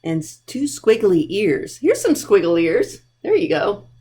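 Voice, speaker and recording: A woman's voice: mid-range voice, very animated, measured pace, warm tone. A woman hosting a storytime for young children, talking playfully and directly to them as a library presenter. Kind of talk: children's storytime reading and chat.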